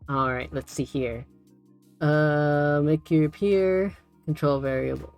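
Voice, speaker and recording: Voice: mid-range voice, fairly even intonation, slow pace, a little mellow, clean tone. A tutorial host talking casually and thinking aloud, a little unsure, while working through the steps live. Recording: music playing behind.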